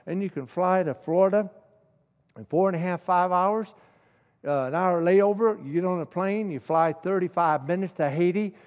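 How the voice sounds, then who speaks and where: A man's voice: high voice, a little mellow, big-room sound, highs cut off. A middle-aged man preaching a sermon with passion, repeating key lines and building to emphatic peaks.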